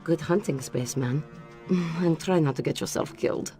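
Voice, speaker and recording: A woman's voice: sits low, normal speed, warm tone, faint music behind, lightly acted. An actress playing a self-assured, teasing female spy in a video game, with a flirtatious manner. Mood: cool, playful. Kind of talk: video game cutscene acting.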